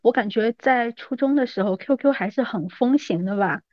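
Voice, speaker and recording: A woman's voice: fairly high pitch, normal speed, no room sound, lo-fi sound. A woman in her thirties chatting casually and informally as a podcast guest. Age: 30s. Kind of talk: casual reminiscing chat.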